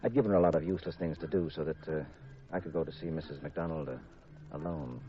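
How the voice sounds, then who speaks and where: An actor playing a wry, smooth male private detective in a 1950s radio drama, casual and confiding. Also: extremely low pitch, fast, faint music behind. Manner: casual, hesitant, wryly confiding. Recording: dull vintage radio recording.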